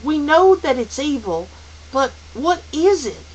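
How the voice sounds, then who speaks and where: Brief: female reader playing storybook teen boy, eager and insistent, high lively pitch, loud. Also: measured pace, lo-fi sound, exaggerated voice. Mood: demanding. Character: eager, questioning teenage boy. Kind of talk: audiobook character voice. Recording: faint hiss.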